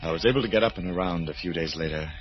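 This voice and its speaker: An actor playing an unstable, obsessive concert pianist in old-time radio horror, with an intense, melodramatic manner. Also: male voice, deep voice, racing, faint music behind, close to an everyday voice. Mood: haunted narration. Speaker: actor and first-person narrator. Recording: muffled vintage radio recording.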